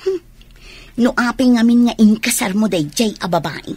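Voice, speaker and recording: A woman's voice: on the high side, normal speed, a little mellow, slightly rough, no echo. A woman playing a possessive, needling mistress in a radio drama.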